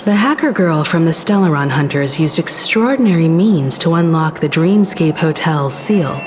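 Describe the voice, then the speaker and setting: A woman voicing a calm, analytical investigator companion who speaks in a measured, composed way. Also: deep voice, slight background noise. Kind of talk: game voice acting. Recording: game music behind.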